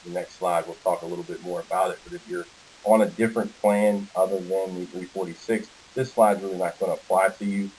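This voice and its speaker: American man, low voice, informal slide-by-slide sales presenter, conference call audio, faint hiss. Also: fairly even intonation, brisk, a little mellow, rough recording.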